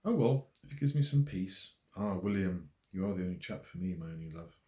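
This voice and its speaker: A man's voice: low-pitched, lively intonation, a little fast, darkish tone. A man voicing a shy, resentful single woman in an audiobook, polite, hesitant and guarded. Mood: wry, relieved. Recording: roomy, echoey voice recording.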